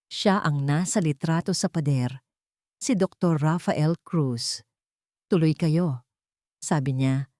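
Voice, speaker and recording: Brief: female audiobook narrator, measured and dramatic, low voice, dry close mic. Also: darkish tone, dry recording. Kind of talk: first-person dramatic story narration.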